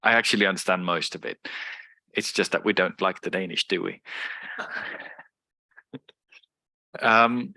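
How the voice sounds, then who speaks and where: A man's voice: sits low, a little slow, long pauses, pushed and bright, dry recording. A man who is a native Swedish speaker, giving an explanatory lecture with frequent fillers and restarts. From Sweden. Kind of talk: online lecture.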